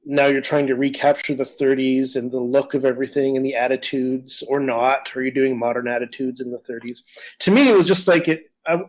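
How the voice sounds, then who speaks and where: A man's voice: medium pitch, fairly even intonation, a little fast, rough recording. A man co-hosting a podcast, talking casually in a rambling, opinionated way. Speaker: podcast co-host. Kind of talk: casual conversational discussion.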